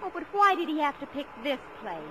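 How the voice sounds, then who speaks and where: A young woman playing an earnest high-school daughter, telling her family's story expressively in a 1950s radio drama. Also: very animated, fast, slight background noise. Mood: flustered. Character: bright, earnest eldest daughter, a high-school girl, narrating. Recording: old radio broadcast with loud music.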